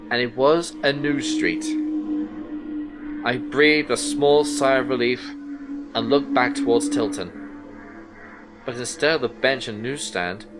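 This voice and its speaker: Haunted investigator over music. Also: male voice, mid-range voice, low-quality recording. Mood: wary, haunted. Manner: deliberate, suspenseful storytelling in short phrases. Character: shaken, anxious male investigator in a horror tale. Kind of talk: first-person dramatic narration in audio drama.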